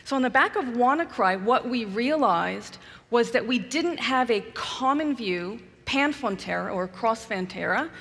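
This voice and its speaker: A woman's voice: a little high, a bit bright. A woman with a New Zealand accent, a guest speaker being interviewed on a conference stage, talking in a composed, businesslike way. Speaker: customer guest on keynote stage. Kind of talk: onstage interview. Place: large conference hall stage. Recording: long hall reverb.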